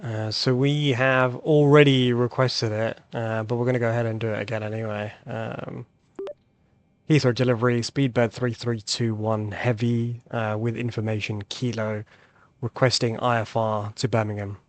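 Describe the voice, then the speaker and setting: A man's voice: medium pitch, level tone, moderate pace, no echo. A man hosting a flight simulator video, thinking aloud in casual tutorial commentary and reading back radio calls. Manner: thinks aloud while demonstrating.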